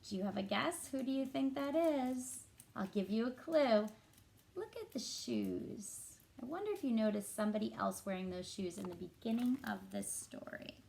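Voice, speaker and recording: A woman reading a picture book aloud to children as a teacher, in an expressive, deliberate and engaging way. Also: a little high, vocal fry, a little hiss or hum. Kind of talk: expressive storybook narration for children.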